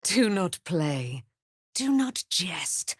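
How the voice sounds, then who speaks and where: A woman's voice: lowish voice, big pitch swings, extremely slow, husky, dry recording, clean studio sound, exaggerated voice. A woman playing a proud, righteous immortal warrior in a video game, with grand, formal, declamatory delivery and archaic phrasing. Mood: defiant, menacing. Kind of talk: dramatic video game voice acting.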